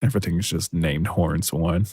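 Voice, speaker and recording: A man mixing music on a livestream, thinking aloud in a soft, relaxed, casual way. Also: low voice, moderate pace, slightly rough, dry recording, clean studio sound. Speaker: music producer streaming a mixing session. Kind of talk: casual livestream commentary while working. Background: faint music from the mix being worked on.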